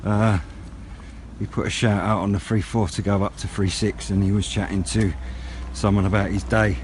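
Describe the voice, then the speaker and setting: A British man chatting casually in a vlog, with a rambling, unhurried, low-key way of talking. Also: little pitch movement. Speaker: radio hobbyist vlogger. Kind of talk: casual outdoor vlog chat. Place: outdoors on wooded high ground by a road. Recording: outdoor noise with passing vehicles.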